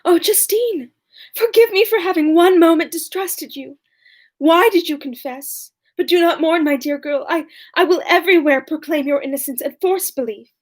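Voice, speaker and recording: A woman reading aloud as an anguished, indignant young gentlewoman, in an impassioned, declamatory way. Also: extremely high pitch, normal speed.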